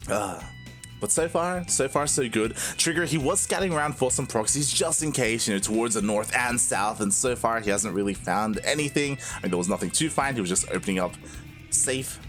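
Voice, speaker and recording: Australian caster with a lively voice. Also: male voice, mid-range voice, fairly quick, faint music behind. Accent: light Australian. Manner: chatty, laughs often, excitable. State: tired from staying up overnight. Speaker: esports caster. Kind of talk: live game commentary.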